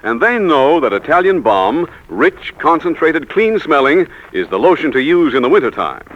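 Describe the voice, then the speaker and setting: A man working as a commercial announcer, delivering a polished 1940s radio advertising pitch. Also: sing-song, normal speed. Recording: dull 1940s radio recording.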